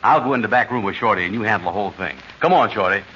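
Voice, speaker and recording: An actor playing a slow, easygoing bachelor short on cash, drawling in a deep voice, on a vintage radio comedy. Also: male voice, pushed and bright. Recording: muffled old radio broadcast, faint hiss.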